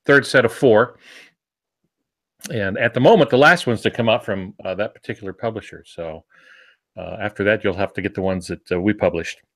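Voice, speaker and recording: A man with a low voice talks casually as an author on a writing podcast. His delivery is halting and rambling, with frequent pauses as he explains things. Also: a bit bright. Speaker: novelist and podcast panelist. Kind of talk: casual podcast chat about books and writing.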